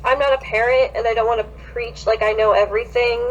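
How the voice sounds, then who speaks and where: A woman's voice: a little high, fairly even intonation, quick pace. A woman co-hosting a podcast in casual chat, explaining things earnestly with some slang. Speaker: podcast co-host. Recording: dull lo-fi sound, faint hum.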